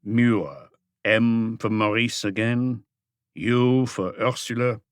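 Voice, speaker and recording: A man voicing a calm, authoritative chief police detective, terse and measured, in an audiobook. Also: medium pitch, clean tone, dry recording, pitched below the performer's own voice, lightly acted.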